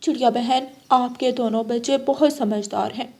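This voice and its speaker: Woman as a high-voiced cartoon crow mother. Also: steady tone, normal speed, a little mellow. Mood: grateful. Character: grateful female crow mother. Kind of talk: children's cartoon voice acting.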